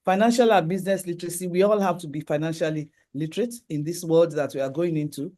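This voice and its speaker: A middle-aged conference speaker with a Nigerian accent, voice mellow and dark in tone. Talks earnestly through lists of points, restarting sentences now and then, pitched in the middle range. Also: quick pace. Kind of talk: presentation with slides.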